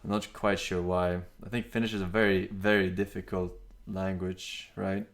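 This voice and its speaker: A man's voice: low voice, normal speed. A young man, streaming and chatting casually, rambling and reading out chat messages. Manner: relaxed, rambling, with fillers and some swearing.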